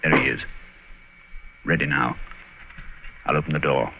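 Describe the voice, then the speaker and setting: A man acting a confident English gentleman detective in an old radio drama, clipped, wry and commanding. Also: very deep voice, steady tone, measured pace, over loud music. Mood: urgent, hushed. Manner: urgent, clipped, commanding, theatrical. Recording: muffled, noisy old radio recording.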